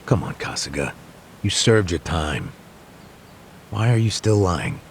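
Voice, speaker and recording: An older man with an American accent playing a wry, nosy, unhurried detective who needles people, in a video game. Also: sits low, sing-song, creaky, slight background noise, exaggerated voice. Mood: probing. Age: older, near retirement. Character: needling, unhurried old male detective tailing an ex-con. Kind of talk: English video game dub acting.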